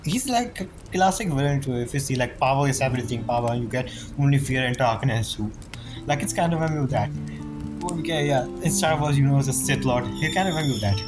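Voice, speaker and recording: A man giving casual, rambling gameplay commentary as he reacts to a story, speaking informally and haltingly. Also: mid-range voice, expressive. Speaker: gamer reacting to a story quest. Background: game music.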